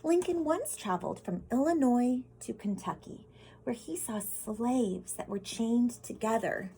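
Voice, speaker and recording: A woman's voice: a little high, lively intonation, a little slow, warm tone, a little hiss or hum, low-quality recording. An American woman reads a picture book aloud as a teacher, explaining simply and putting questions directly to children. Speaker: teacher reading to pupils.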